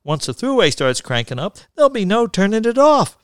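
A man's voice: high voice, very animated, a little fast, a bit bright, clean tone, no echo, clean studio sound, pitched above the performer's own voice. A man voicing an exasperated grown son in a story, incredulous and argumentative with his father.

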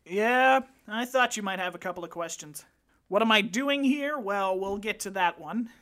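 A woman's voice: medium pitch, animated, moderate pace, fairly bright tone, lightly acted. A woman voicing a blunt, foul-mouthed male secret agent who gives crude, matter-of-fact reports. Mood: smug. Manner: blunt, profane field-report delivery.

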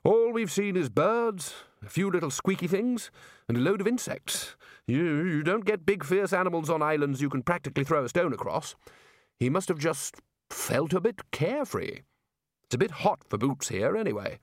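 A man voicing a bluff, hearty older male wizard leader, jovial and loud in his manner. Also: a little high, expressive, moderate pace, dry recording, studio quality, voice pushed up.

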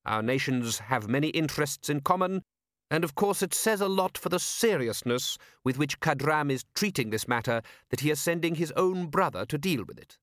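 A man's voice: on the high side, lively intonation, normal speed, fairly bright tone, voice pushed up. An audiobook city ruler performed by a man, coolly commanding and shrewd. He speaks formally and precisely, with dry sarcasm, in a raised voice set in a dry, echo-free recording. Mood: dryly sardonic. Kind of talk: audiobook character voice.

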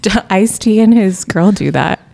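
A woman co-hosting a podcast in casual chat, talking in an even, fairly monotone way. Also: mid-range voice, normal speed, creaky. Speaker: podcast co-host.

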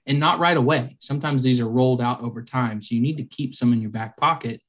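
Man, mid-pitch, relaxed, joking, casual podcast banter. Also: quick pace. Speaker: podcast co-host. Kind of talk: casual chat.

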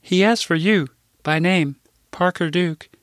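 A man's voice: high-pitched, unhurried, a bit bright, no echo, studio quality, voice pushed up. A man voicing a cheerful, innocent Midwestern grandmother over 60, earnest and sing-song with a Midwestern accent.

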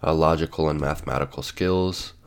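A man's voice: very deep voice, fairly even intonation, fairly quick, clean tone. A male podcast host with a stuffy cold, musing aloud and reading definitions with many fillers. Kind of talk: solo podcast monologue. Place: sitting at home with coffee.

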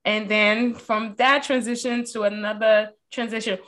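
A woman's voice: fairly high pitch, little pitch movement, measured pace, a bit bright. A woman interviewing a guest in casual chat, chiming in encouragingly.

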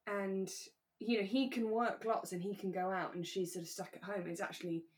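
A woman talks as a podcast co-host. Her voice sits at a middle pitch, and her delivery is chatty and relaxed, like an informal discussion between two people. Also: brisk. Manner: chatty, conversational. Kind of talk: relaxed discussion of a radio drama.

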